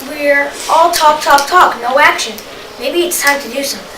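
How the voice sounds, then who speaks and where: A woman's voice: sits high, moderate pace, sharp tone. A woman reading a bold, principled college boy in an amateur student play. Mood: urging. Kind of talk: amateur reader's theater acting. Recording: very noisy, echoey, distant mic.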